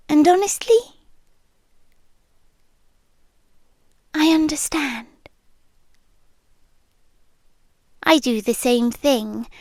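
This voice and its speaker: A woman acts out a possessive, teasing phantom girlfriend with a British accent. Her voice is high and soft, often slipping toward a whisper. She takes her time, lingering in slow, deliberate phrases, very close and dry on the microphone in an intimate ASMR roleplay. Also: no echo, full character voice. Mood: hushed, eerie. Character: possessive, teasing yandere girlfriend spirit living in listener's head.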